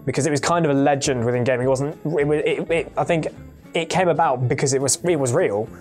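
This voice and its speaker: A man's voice: on the high side, fast, a little mellow, soft background music. A man telling a story casually as a panellist, explaining in earnest detail and often correcting himself. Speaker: panellist on a gaming show.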